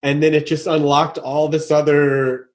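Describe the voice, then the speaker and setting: A middle-aged man hosting a casual interview, thinking aloud with many restarts and growing animated when he acts out what someone might say. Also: fairly high pitch, measured pace. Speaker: podcast host.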